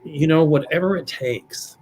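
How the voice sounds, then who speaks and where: A man with a slightly raspy voice, chatting and telling stories with jokes as a psychic on a live show. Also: a little high, measured pace, darkish tone, slight background noise, low-quality recording. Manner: chatty, joking, storytelling. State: slightly raspy after surgery. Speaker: psychic, show guest-regular. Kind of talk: casual chat on a live stream.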